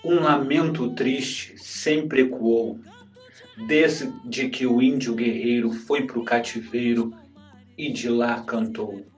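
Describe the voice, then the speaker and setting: A man reciting song lyrics slowly and deliberately. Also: mid-range voice, steady tone, faint music behind.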